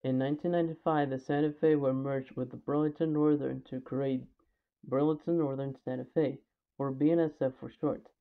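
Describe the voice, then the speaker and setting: A man's voice: mid-range voice, steady tone, brisk. A man reading scripted documentary narration, occasionally stumbling over the script. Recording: tinny, phone-like narrow band.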